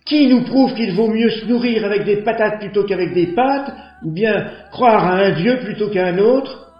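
A man voicing a young caravan-dwelling neighbour who turns into an accusing conscience, high-pitched and declaiming. The sound is echoey and dull, with faint music under it. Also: normal speed, close to an everyday voice. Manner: accusing, declamatory moral speech.

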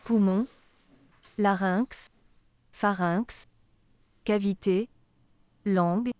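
A woman calmly and slowly reciting a list of anatomy terms. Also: medium pitch, clear, resonant tone. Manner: slow, listing calmly.

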